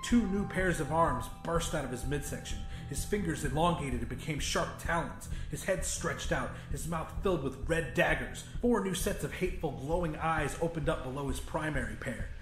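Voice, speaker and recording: A man's voice: on the high side, expressive, moderate pace, hardly any pauses, fairly bright tone. A man reading a scripted horror story as an audiobook narrator. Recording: roomy echo with music. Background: music.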